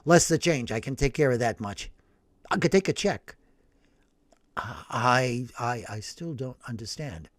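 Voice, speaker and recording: A man voicing a timid, hesitant middle-aged priest who stammers softly. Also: medium pitch, lively intonation, no room sound, clean studio sound, pitched below the performer's own voice, lightly acted. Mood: flustered. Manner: stammering, soft and halting.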